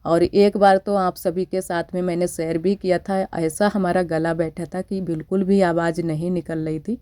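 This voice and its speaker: Young village housewife vlogger, low-ish mellow voice a bit thick from a cold, chatty and homely, dry close recording. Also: female voice, flat delivery, moderate pace, clean tone, dry recording. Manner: chatty, homely, repeats fillers. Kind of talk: casual narration over daily chores. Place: village home.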